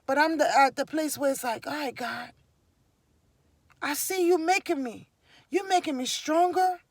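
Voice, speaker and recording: Liberian-accented woman vlogger, high voice, dry sound, emphatic and preachy. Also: normal speed, dry recording. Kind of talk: casual personal storytelling to camera.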